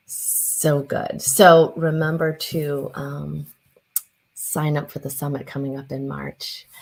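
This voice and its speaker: A woman hosting an event, enthusiastically introducing the next speaker and reading out her bio. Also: deep voice. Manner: warmly enthusiastic, reads the introduction aloud.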